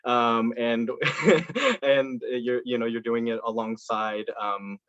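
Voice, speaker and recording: An earnest young man from Indiana makes his case as a student council candidate. He talks with frequent ums and restarts, in a mid-pitched voice. The video call audio is dull and dry. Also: slow, a bit bright, no echo. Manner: earnest, with many fillers and restarts. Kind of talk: campaign speech and answers to questions.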